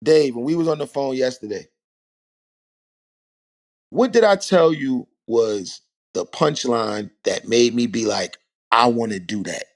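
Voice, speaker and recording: A man, a rapper telling stories as a podcast guest in a relaxed, animated way. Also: mid-range voice, measured pace, pauses often. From Harlem, New York. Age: 40s. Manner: animated storytelling, rhetorical asides. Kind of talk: casual podcast conversation.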